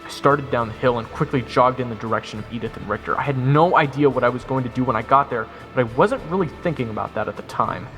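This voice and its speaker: A man's voice: medium pitch, fast talker, few pauses, fairly bright tone, soft background music, lightly acted. A man playing a wry soldier who narrates his own fantasy story in a matter-of-fact way. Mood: urgent. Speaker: narrator of audio roleplay. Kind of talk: first-person scripted narration.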